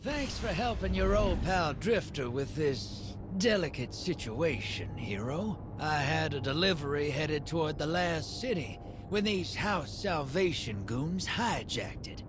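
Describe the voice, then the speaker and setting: Roguish game smuggler: American, sly and sarcastic, highish voice with big swoops, noisy rumble behind. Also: male voice, lively intonation, a little slow. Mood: sly, casual. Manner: sly, folksy drawl, sarcastic. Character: wry, roguish male smuggler, slick and teasing. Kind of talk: video game mission dialogue.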